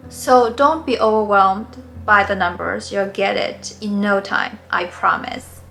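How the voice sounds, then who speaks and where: A woman in her forties, born in China and a native Mandarin speaker, hosting a knitting tutorial in a gentle, earnest and encouraging way. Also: mid-range voice, fairly even intonation, unhurried, gravelly, a little hiss or hum. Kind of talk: friendly instructional talk.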